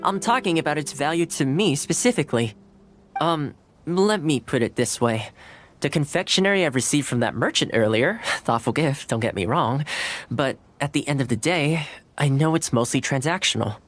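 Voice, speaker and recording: An actor voicing a courteous, easygoing young male retainer in game dialogue, polite and gently humorous. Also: a little high, animated, normal speed. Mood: heartfelt.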